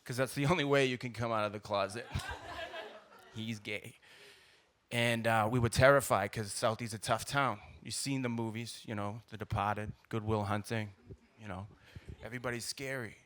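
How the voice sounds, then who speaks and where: A man's voice: on the low side, fairly even intonation, normal speed, fairly bright tone. A middle-aged man with a Boston accent doing blunt, colloquial stand-up storytelling into a stage mic. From South Boston, United States. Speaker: stand-up comedian. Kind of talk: stand-up comedy storytelling to a live audience. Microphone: stage microphone at a live show. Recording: live stage recording with audible background noise.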